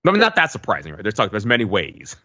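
A man's voice: fairly low pitch, wildly swooping pitch, fast talker, bright, forceful tone, no room sound, highs cut off. A man co-hosting a podcast in casual discussion, tentative and often checking that others agree. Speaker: podcast host.

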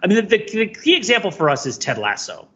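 A man's voice: high voice, very animated, quick pace, fairly bright tone, muffled recording. A man talking casually as a podcast co-host in a rambling, self-deprecating, wry way. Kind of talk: casual conversational podcast chat.

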